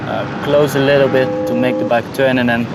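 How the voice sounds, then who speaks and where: A male motorcycle racer describes a lap in short, clipped phrases. His mid-pitched voice stays very level. Loud vehicle noise surrounds him. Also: level tone, brisk. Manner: clipped phrases, listing each step. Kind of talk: explaining a lap of a circuit.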